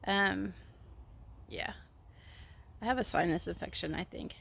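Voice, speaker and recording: A woman with a failing, hoarse voice chatting casually as a gardening vlogger, outdoors in a backyard garden. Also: fairly low pitch. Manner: soft-spoken and unhurried, drifting into a whisper. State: losing her voice, hoarse. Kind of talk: casual chat. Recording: faint outdoor noise. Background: birds and chickens.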